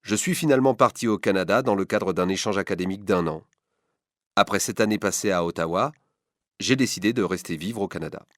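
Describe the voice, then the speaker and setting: Casting: male student, twenties, from Brittany, fairly low voice, dry recording, telling his story in an interview. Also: fairly quick, fairly bright tone, dry recording. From Brittany, France. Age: 20s. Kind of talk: prepared personal account in an interview.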